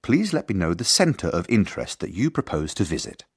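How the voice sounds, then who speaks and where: Earnest headmaster, husky, formal, mid-low male voice, lively intonation, radio drama narration. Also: a little fast, exaggerated voice. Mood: formal, dry. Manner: formal, precise, prickly. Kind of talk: first-person narration and radio drama acting.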